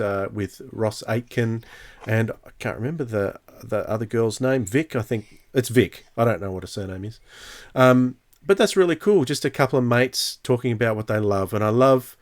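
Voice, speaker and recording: A man hosting a podcast in a casual, conversational way. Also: medium pitch. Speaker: podcast host. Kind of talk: casual chat.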